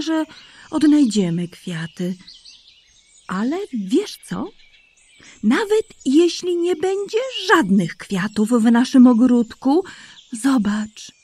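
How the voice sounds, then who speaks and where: A woman doing a gentle mother hare. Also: sits high, breathy edge, exaggerated voice. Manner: soothing, tender.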